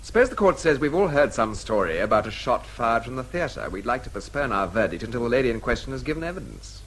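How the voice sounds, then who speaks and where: Breezy British captain, quick-talking. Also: male voice, medium pitch, sing-song, a bit bright, slight background noise, low-quality recording. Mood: pointedly teasing. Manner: flippant, urbane, wry. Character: breezy, witty, unflappable captain teasing authority. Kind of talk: radio drama acting.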